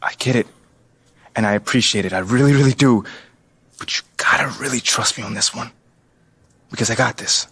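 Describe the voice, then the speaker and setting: Confident young American basketball rookie. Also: male voice, mid-range voice, fairly quick, long pauses, a bit bright, slightly rough, no room sound. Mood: earnest, defensive. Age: young adult. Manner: casual, slangy, loose. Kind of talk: naturalistic video game cutscene acting.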